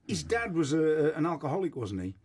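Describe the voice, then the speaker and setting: A man's voice: fairly high pitch, sing-song, brisk, darkish tone, slightly rough. A man speaking as a radio host, chatting casually and putting informal questions and comments to a guest.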